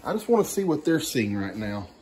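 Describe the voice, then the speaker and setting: A man's voice: medium pitch, sing-song, fairly quick, darkish tone. A man hosting a casual home cooking video, chatting playfully and using pet names. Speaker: cooking show host. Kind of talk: casual chat while cooking. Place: indoor home kitchen. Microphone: camera a few feet away on counter. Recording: roomy kitchen, some background noise.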